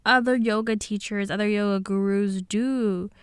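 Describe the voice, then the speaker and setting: A woman's voice: medium pitch, fairly even intonation, moderate pace, clear, resonant tone, no room sound, studio quality. A woman co-hosting a podcast, explaining things in a conversational way. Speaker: podcast co-host.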